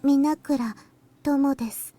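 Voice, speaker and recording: A woman's voice: high-pitched, fairly even intonation, moderate pace, dark tone, soft background music, lightly acted. A woman voicing a shy, demure young student in a Japanese visual novel. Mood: coy, demure.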